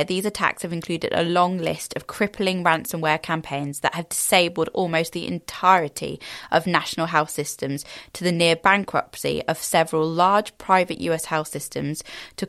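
A woman's voice: lowish voice, a bit bright. A woman hosting a podcast, introducing the episode and interviewing a guest. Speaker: podcast host.

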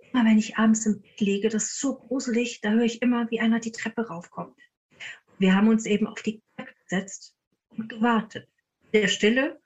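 A woman's voice: fairly high pitch, dull audio. A woman speaking as a guest paranormal investigator, casually telling stories and acting out quoted dialogue. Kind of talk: casual anecdotal storytelling.

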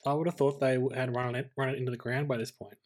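An Australian man co-hosting a podcast, talking casually about films in a measured, tentative way, thinking aloud. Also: medium pitch, little pitch movement. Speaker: podcast co-host. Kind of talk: casual film discussion.

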